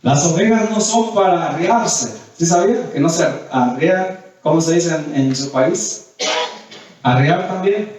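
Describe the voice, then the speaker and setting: A man's voice: fairly high pitch, measured pace, a little mellow. A man preaching a sermon in a didactic way, reading scripture, questioning the congregation and repeating phrases for emphasis. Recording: very echoey hall, distant mic.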